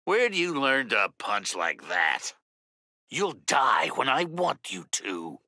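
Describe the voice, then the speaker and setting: A man voicing a mocking, gleefully threatening masked slasher killer in a video game, with a harsh, raspy, gravelly voice. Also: medium pitch, big pitch swings, slow pace, pushed and bright, no echo, clean studio sound, exaggerated voice. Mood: gleeful menace. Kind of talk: video game villain voice lines.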